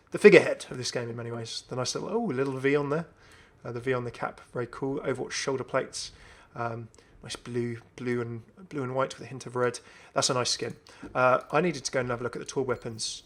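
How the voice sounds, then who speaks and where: A man's voice: medium pitch, fairly even intonation, a little fast, hardly any pauses. A man hosting a gaming video, commenting casually and enthusiastically as he thinks aloud. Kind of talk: casual game update commentary.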